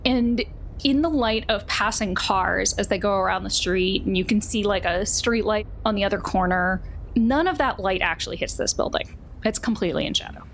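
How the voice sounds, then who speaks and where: A woman's voice: medium pitch, normal speed, a little hiss or hum. A woman narrating a tabletop game as its game master in a conversational, folksy way.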